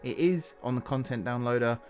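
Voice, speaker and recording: A man's voice: mid-range voice, a little fast, darkish tone, soft background music. Chatty man narrating gameplay. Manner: rambling, self-correcting, chatty. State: slightly hoarse. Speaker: gaming channel host. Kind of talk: casual gameplay voice-over. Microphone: desktop voice-over mic.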